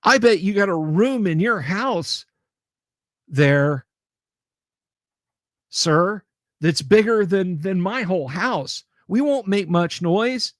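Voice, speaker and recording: A man's voice: high voice, expressive, slow pace, pauses often, fairly bright tone, dry recording, studio quality. A man hosting a casual livestream, rambling, repeating his words and making sarcastic asides while reading viewer comments. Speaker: livestream host.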